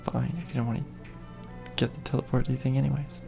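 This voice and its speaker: Hoarse, gravelly game streamer. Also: male voice, medium pitch, little pitch movement, fairly quick. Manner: rambling, trailing off mid-thought. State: hoarse, losing his voice. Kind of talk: casual gameplay commentary. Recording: faint game music behind.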